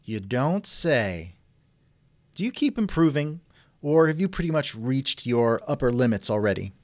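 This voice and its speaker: Wry man with lively pitch swings. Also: measured pace, no echo. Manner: wry, skeptical. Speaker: interviewer, host. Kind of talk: reenacted text-chat interview, conversational.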